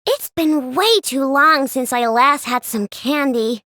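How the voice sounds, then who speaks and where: Game voice: a bubbly little girl inventor, very high, bright, lively, unhurried, dry studio sound. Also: dry recording, heavily acted. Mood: playful. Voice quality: bubbly, childlike and bouncy. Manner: playful, wheedling, with gasps and giggles. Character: cheerful young girl inventor, sugar-loving and playful. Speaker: game character voice. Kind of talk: game voice lines.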